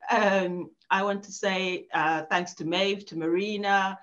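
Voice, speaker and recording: A woman's voice: medium pitch, steady tone, measured pace, fairly bright tone. A middle-aged woman giving a thoughtful academic talk from home on a video call, with frequent ums and restarts. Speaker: author at a book launch. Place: home room. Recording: dull lo-fi video call audio.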